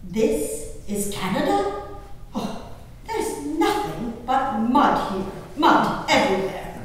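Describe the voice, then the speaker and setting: A woman playing a genteel, dramatic English gentlewoman diarist in a declamatory stage reading, stretching out her emphatic phrases. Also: sits high, very animated, slow pace, heavily acted. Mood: appalled, indignant. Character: genteel, dramatic English gentlewoman diarist, easily appalled, enraptured by wild nature. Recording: echoey hall from far off, faint noise.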